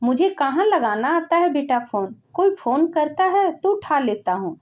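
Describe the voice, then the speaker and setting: A woman's voice: high-pitched, very animated, a little slow, a little mellow. A woman voicing a poor old father from a village who speaks in a pleading, bewildered way, in a spoken storybook.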